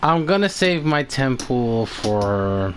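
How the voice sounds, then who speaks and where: A man chatting and commentating casually as the host of a live stream, halting and often repeating himself. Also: mid-range voice, big pitch swings, a little hiss or hum.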